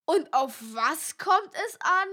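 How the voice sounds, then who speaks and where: Woman, co-host, children's radio show, curious, eager questions, high pitch, bright. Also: animated, takes it slowly.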